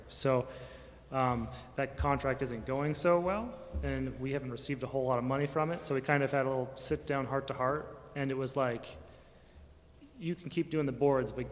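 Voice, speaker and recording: A man speaking as a city staff member, explaining things informally at a public meeting in a rambling, casual way that often trails off. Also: medium pitch. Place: reverberant council chamber. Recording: hall reverb, faint background noise.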